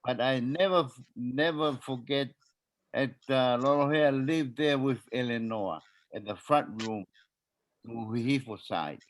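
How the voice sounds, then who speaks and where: An older man, sharing family memories on a video call in a halting way, with many pauses and filler sounds as he searches for names. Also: mid-range voice, no echo, poor audio.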